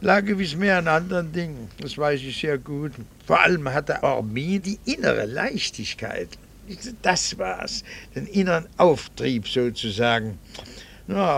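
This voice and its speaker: Gravelly middle-aged doctor, wry husband. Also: male voice, fairly high pitch, a bit bright, heavily acted. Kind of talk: 1960s radio drama acting.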